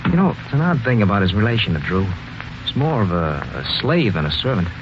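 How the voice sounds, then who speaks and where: A man's voice: on the low side, big pitch swings, fairly quick, noisy background. An actor playing an earnest young man who narrates a murder mystery and acts in it, in 1940s radio style. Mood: casual, friendly. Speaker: narrator and lead character. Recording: muffled 1940s radio, loud music behind.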